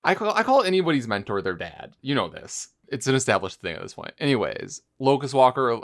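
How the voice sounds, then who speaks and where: A man's voice: medium pitch, sing-song, a little fast. A man co-hosting a gaming podcast, talking informally and jokingly in a casual chat. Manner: informal, jokey. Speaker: podcast co-host. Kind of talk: casual chat about a video game.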